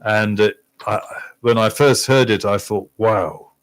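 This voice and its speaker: A man's voice: fairly low pitch, steady tone, unhurried. A man, a guest on a local radio talk show, speaking hesitantly with many restarts. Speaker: insurance agent guest. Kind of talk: casual radio chat. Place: radio studio.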